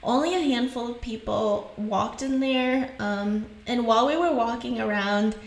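A woman's voice: fairly high pitch, unhurried, echoey room. A young woman from Haiti whose first languages are French and Creole, chatting casually as a podcast host and laughing often.

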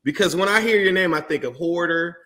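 A man's voice: high-pitched, fairly quick, pushed and bright. A man hosting a casual sports podcast, asking enthusiastic, rambling questions full of fillers. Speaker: podcast host.

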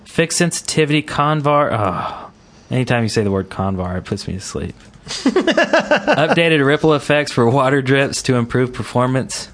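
A man's voice: medium pitch, sing-song, moderate pace, a bit bright. A man hosting a gaming podcast in casual, rambling, jokey chat. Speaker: podcast host.

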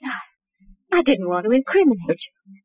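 Guarded young widow, tinny old radio. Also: female voice, a little high, sing-song, measured pace, husky, no echo, exaggerated voice. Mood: urgent, tense. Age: young adult. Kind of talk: 1940s radio crime drama acting.

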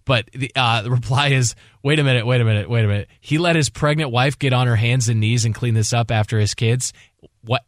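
A man's voice: mid-range voice, brisk, dry recording, studio quality. A man co-hosting sports talk radio, bantering casually with jokey asides and repeated phrases.

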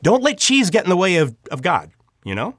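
A man speaking as an interview guest, telling personal stories in an excitable, emphatic and devout, earnest way. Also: high voice, brisk, no room sound, clean studio sound. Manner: excitable, emphatic, with dramatic quoted asides. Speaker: guest, audio drama writer and director. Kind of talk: animated personal storytelling.

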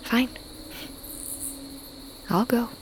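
An actress playing a guarded, blunt huntress of a wolf tribe in an audio drama, plain-spoken and restrained. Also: female voice, drawn-out words, a little hiss or hum. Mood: guarded concern. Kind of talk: audio fiction drama acting. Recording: outdoor ambience with crickets and insects.

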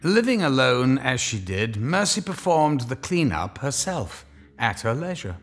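A man narrating a scripted horror story in a wry, measured, dramatic way. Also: mid-range voice, very animated, faint music behind.

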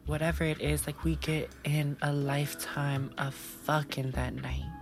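A brash, dreamy young woman, low and slow. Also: flat delivery, music in the background. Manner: breathy, unfiltered, swearing, drawn-out. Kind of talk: audio drama monologue.

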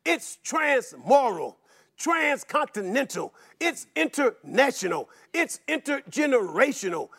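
A man preaching a sermon in a rhythmic chant, running through long repeated lists and rising into shouts. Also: extremely high pitch, very animated, a little slow.

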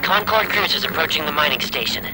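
An actor playing an alert crew lookout, making clipped, urgent reports in a sci-fi audio drama. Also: male voice, fairly high pitch, sing-song, quick pace, noisy background.

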